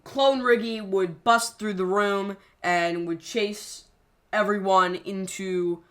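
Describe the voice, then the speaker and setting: A channel creator casually explaining the plan for a scrapped series finale, rambling with frequent pauses and restarts. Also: mid-range voice, fairly even intonation, a bit bright. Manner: hesitant, frequent ums and restarts.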